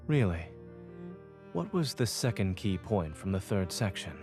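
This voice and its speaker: An actor playing a calm, authoritative doctor in a video game, speaking in a steady, measured way. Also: male voice, low-pitched, faint music behind, exaggerated voice. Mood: calm, gently teasing. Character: calm authoritative male doctor, brilliant surgeon and lecturer. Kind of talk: scripted game voice acting.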